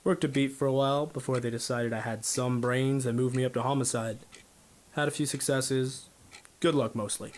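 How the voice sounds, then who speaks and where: A man's voice: medium pitch, steady tone, normal speed, close to an everyday voice. A man playing an overworked, modest new homicide detective in a noir radio drama, speaking in a hesitant, friendly, self-effacing way. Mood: modest, frank.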